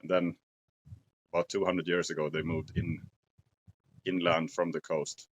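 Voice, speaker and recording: A man in his forties with a Swedish accent, talking slowly and thoughtfully to camera outdoors in a forest at dusk, pausing to search for English words. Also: deep voice, little pitch movement, background noise. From Sweden. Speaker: outdoor vlogger. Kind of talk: casual talk to camera.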